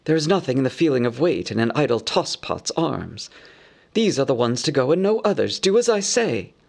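A man's voice: medium pitch, big pitch swings, normal speed, creaky, darkish tone. A man voicing a grumpy old elf butler who grumbles in a whispery voice. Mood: gruff.

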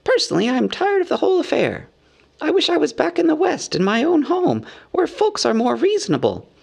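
A man voicing a small, fussy, anxious male hobbit from a storybook, squeaky, polite and rambling in a businesslike way. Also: wildly swooping pitch, a little fast, vocal fry, darkish tone, heavily acted. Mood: businesslike, weary. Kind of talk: storybook character voice.

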